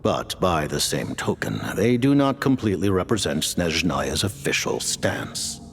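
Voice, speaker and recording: Stern, formal commander; very low and gravelly, wide swings, soft score behind. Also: male voice, sing-song, a little slow, slight background noise, heavily acted. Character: stern, formal highest-ranking male commander. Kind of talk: video game voice acting. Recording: game audio with music.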